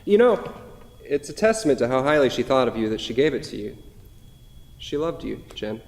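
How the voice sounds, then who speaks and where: A man playing a wistful, gently rueful grieving widower in a staged play reading, recorded in an echoey hall. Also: medium pitch, sing-song, moderate pace, gravelly. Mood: warm, grateful. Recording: echoey hall with long reverb.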